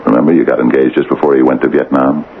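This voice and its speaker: A man's voice: rumbling low, steady tone, quick pace. An actor playing an anxious, superstitious small-town man who pleads and stammers earnestly, in an old-time radio drama. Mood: earnest, insistent. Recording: dull, hissy vintage radio recording.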